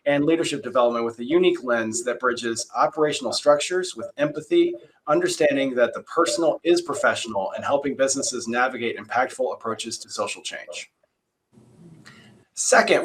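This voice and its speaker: A man's voice: medium pitch, slight background noise, rough recording. A man moderating a design panel, reading guest introductions and then chatting casually with many fillers and restarts.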